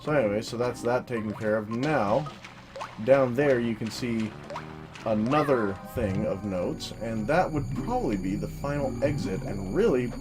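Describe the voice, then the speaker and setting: Very deep-voiced monotone woman over game music. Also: moderate pace. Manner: monotone, self-deprecating, casual swearing. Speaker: let's play commentator. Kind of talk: solo gameplay commentary. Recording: game music loud behind voice. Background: video game music.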